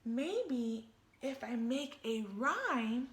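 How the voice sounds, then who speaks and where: A woman's voice: high-pitched, big pitch swings, very slow, exaggerated voice. A woman voicing a kind, earnest young boy shark in a storybook, with a sing-song, rhyming delivery. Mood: careful, self-coaching. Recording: roomy, echoey sound.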